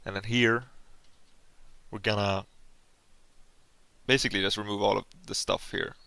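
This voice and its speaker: Gravelly, low-voiced Swedish-accented man thinking aloud. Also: steady tone, unhurried, sharp tone, no echo. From Sweden. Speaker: animation streamer. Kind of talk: casual livestream, thinking aloud while working.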